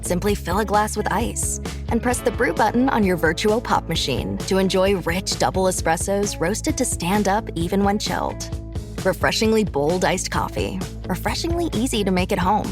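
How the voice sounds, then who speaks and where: A woman's voice: mid-range voice, animated, normal speed. A woman announcer reading a polished, scripted sponsor advertisement. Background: music.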